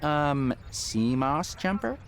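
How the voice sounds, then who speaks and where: An actor playing an easygoing, curious young tinkerer, the wry and casual hero of an adventure video game. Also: male voice, fairly high pitch, very animated, slow pace, soft background music, heavily acted. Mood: casual, sheepish. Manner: wry, casual, musing aloud. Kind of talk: video game character dialogue.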